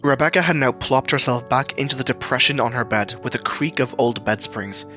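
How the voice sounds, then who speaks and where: A man's voice: mid-range voice, fairly quick, pushed and bright, faint music behind. A man reading scripted story narration as the narrator of a fiction tale.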